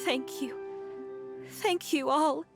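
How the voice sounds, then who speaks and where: Casting: fragile, loving game mother, high voice, very unhurried, wistful and gentle over music. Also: gravelly, heavily acted. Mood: sorrowful, loving. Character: frail, grieving, tender mother speaking to her child. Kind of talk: video game voice acting.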